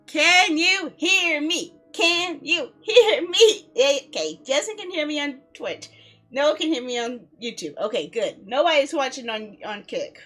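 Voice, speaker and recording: A woman's voice: high voice, animated, a little slow, a bit bright. A woman hosting a video game livestream, chatting casually with viewers in a playful, giggly way. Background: music.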